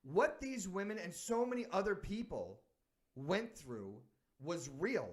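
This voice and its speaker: A man's voice: high voice, big pitch swings, unhurried. A man from San Diego, hosting a sports talk show and reflecting earnestly in a casual way. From San Diego, United States. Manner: earnest, thinking aloud with restarts.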